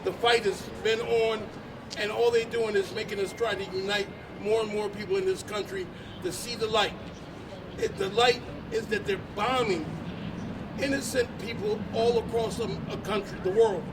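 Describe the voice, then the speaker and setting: Casual, higher-voiced man emceeing a street rally. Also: steady tone, normal speed. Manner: loose and conversational, peppered with "you know what I mean". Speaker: organizer and emcee. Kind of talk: casual introductions at a protest. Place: outdoors on a busy city street. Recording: distant street recording with traffic noise.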